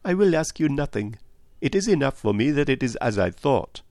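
A man acts out a shrewd male detective in an audiobook, pitched well up with lively swings. He is courteous and calm, probing in measured, insistent phrases. The sound is dry and close. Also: dry recording. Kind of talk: audiobook character voice.

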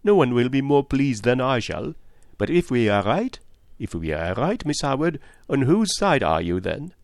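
A man voicing a shrewd, calm and courteous detective in an audiobook, with a measured, probing manner. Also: mid-range voice, dry recording, clean studio sound, voice pushed up. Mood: grave. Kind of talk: audiobook character voice.

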